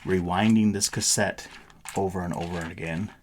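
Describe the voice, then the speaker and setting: A man's voice: deep voice, fairly even intonation, normal speed, slight background noise. A middle-aged man giving a casual, playful, rambling explanation in a show-and-tell vlog style. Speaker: vlogger.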